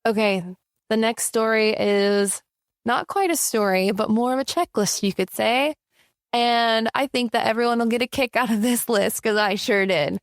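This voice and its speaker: A woman co-hosting a podcast in casual chat, an outspoken flight instructor who talks with animated emphasis. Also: on the high side, normal speed, no room sound, clean studio sound.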